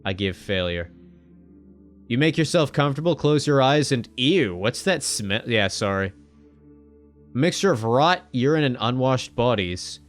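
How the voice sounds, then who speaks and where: A man hosting a let's-play video, reading a game's text aloud and joking, swearing casually and jumping into sudden loud high-pitched exclamations. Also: lively intonation, unhurried, faint music behind. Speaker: gaming video host.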